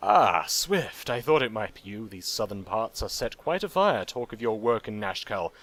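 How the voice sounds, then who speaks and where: A man reading out the lines of a brisk, worried female guard officer in a fantasy game, in his own voice. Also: lowish voice, sing-song, a bit bright. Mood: urgent.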